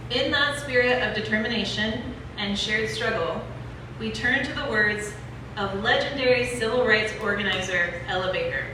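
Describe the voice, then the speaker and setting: Event presenter, female, medium pitch, scripted speech delivery, echoey recording with clear background noise. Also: recorded from a distance. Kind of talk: scripted speech introducing readings.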